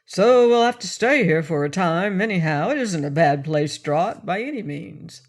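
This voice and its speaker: A woman's voice: fairly low pitch, lively intonation, normal speed. A woman voicing an old sailor man who speaks plainly and easily. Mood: calm.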